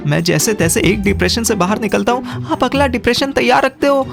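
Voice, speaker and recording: A woman's voice: on the high side, steady tone, fairly quick, creaky, music in the background. A woman voicing an exasperated, melodramatic young man in a comic audio drama. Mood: melodramatic despair. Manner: melodramatic complaining.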